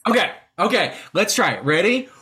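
A woman's voice: mid-range voice, sing-song, a little fast, a bit bright. Encouraging woman teacher on a video call. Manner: encouraging, asks the children questions. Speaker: teacher hosting a class. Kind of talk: leading a children's video-call lesson.

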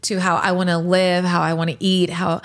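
A woman in her late forties hosts her own podcast and answers rapid-fire questions. Her voice sits on the low side. She speaks candidly and reflectively, and she laughs easily. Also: completely flat delivery. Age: 40s. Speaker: podcast host.

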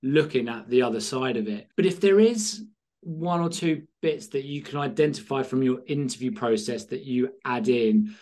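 A man's voice: mid-range voice, moderate pace, darkish tone. A man hosting a sales podcast, asking long, winding questions with restarts. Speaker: podcast host. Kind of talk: podcast interview.

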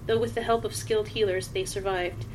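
A woman reading the part of a refined old bearded mage in a storybook, soft and measured. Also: medium pitch, fairly even intonation, slight background noise, natural voice, poor audio. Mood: measured, curious.

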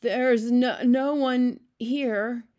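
A woman voicing a gentle, childlike male giant from a storybook, earnest and excitable, with quaint muddled grammar. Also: a little high, moderate pace, pitched above the performer's own voice, close to an everyday voice. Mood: nervous.

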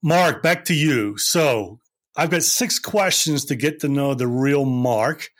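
A man from Scotland, hosting a casual podcast interview with brisk, upbeat questions. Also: medium pitch. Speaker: podcast host.

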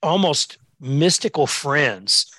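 A man tells stories on a podcast with an eager, rambling energy. His voice has a bright edge at an ordinary pitch. The recording is dry and close. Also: very animated, takes it slowly, no echo. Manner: enthusiastic storyteller, uneven pace, many "you know" fillers. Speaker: museum director. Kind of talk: podcast conversation.

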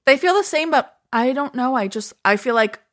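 A woman's voice: high voice, lively intonation, normal speed. A woman co-hosting a casual podcast, chatting and explaining skincare products in detail with lots of fillers. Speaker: podcast co-host and skincare professional.

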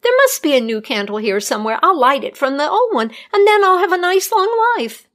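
A woman voicing a young female healer who pleads in a high, desperate voice. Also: steady tone, measured pace, gravelly.

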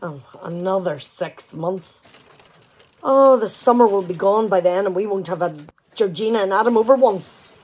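Woman playing a wife in a radio drama, husky voice, really expressive, practical and no nonsense. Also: mid-range voice, moderate pace, lightly acted. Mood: conversational. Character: practical, firm, mildly disapproving wife. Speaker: amateur radio play actor. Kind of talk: domestic radio play dialogue.

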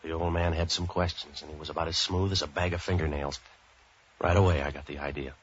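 An actor playing a wisecracking, street-wise boat-for-hire man in 1940s radio style, clipped, sardonic and deadpan, both in narration and dialogue. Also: extremely low pitch, quick pace, husky. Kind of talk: 1940s hard-boiled radio acting, first-person narration. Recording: dull old 1940s radio recording.

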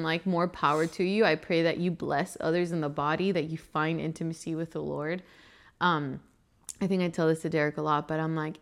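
A young woman from Venezuela co-hosting a casual faith podcast, speaking earnestly and warmly about her own life. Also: low voice. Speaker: podcast co-host.

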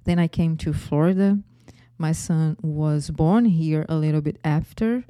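A woman in her forties with a Brazilian Portuguese accent tells her story at an unhurried pace, often breaking into a small laugh between phrases. Her voice sits low and mellow. It is recorded close and dry, with no room sound. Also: no echo. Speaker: podcast host. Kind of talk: personal storytelling podcast.

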